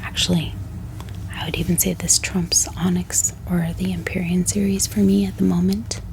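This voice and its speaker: An American woman speaking in a soft, gentle ASMR style as she presents books in a calming, unhurried manner. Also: fairly low pitch, creaky, noisy background. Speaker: ASMR book reviewer and host. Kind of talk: soft-spoken whispered ASMR haul.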